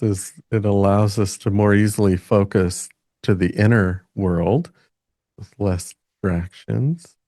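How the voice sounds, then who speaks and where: A man leading a guided meditation in a gentle manner, speaking in halting phrases with long pauses between them. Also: on the low side, no echo, clean studio sound.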